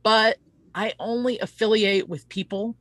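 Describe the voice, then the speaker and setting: A woman's voice: medium pitch, steady tone, unhurried, a bit bright. A woman hosting a casual promotional live-stream interview, speaking in an earnest, chatty and rambling way. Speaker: host of an online interview show.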